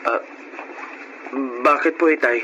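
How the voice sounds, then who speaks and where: An actor playing a frustrated but dutiful young eldest son in a radio drama. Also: male voice, on the high side, slow pace, bright, forceful tone, lo-fi sound, a little hiss or hum. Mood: defiant. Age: young adult. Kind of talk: Filipino radio drama acting.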